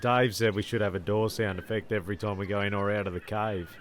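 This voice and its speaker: A man's voice: lowish voice, steady tone, fast talker, slight background noise, natural voice. A man playing a puzzled, hesitant castaway in a scripted audio comedy. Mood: casual.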